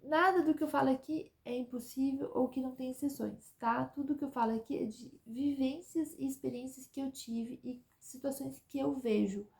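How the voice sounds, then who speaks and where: A woman's voice: high-pitched, moderate pace, creaky, hardly any pauses, a little mellow. A woman giving chatty advice to her viewers in a casual vlog, often checking in with "tá?" and "né?". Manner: chatty, repeats "tá?" and "né?". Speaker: vlogger living abroad.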